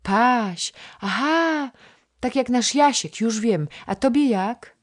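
A little girl chatters away in a high, sing-song voice, wheedling and eager to play. The voice leaps about brightly and never settles, sweet and insistent in a storybook way. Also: slow pace. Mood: coaxing. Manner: high, lively, coaxing childlike voice. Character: chatty little girl, a playful young princess's ward.

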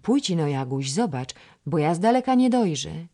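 A woman voicing an excitable young girl at court who gossips breathlessly about arriving guests. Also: sits low, moderate pace, full character voice. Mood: excited. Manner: breathless, exclaiming.

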